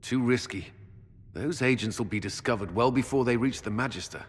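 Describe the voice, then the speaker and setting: An actor playing a firm, blunt and cautious military commander with a husky voice in a fantasy video game. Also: male voice, mid-range voice, fairly quick, natural voice. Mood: grim. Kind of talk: dramatic video game acting. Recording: long hall reverb.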